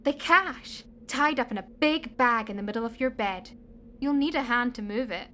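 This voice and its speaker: Woman acting a high-voiced cheeky young daughter. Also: moderate pace, a bit bright, natural voice. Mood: teasing, mock spooky. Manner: playful, mock-dramatic. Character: teasing, cheeky young adult daughter living at home. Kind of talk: amateur radio play acting.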